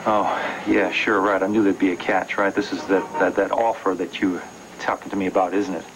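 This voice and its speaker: An actor playing a distrustful, volatile kidnapper on the run in a television soap, stammering, menacing and wounded. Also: male voice, deep voice, level tone, a little fast, background noise. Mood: suspicious. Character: distrustful, volatile fugitive kidnapper desperate to be a father. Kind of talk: television soap drama acting.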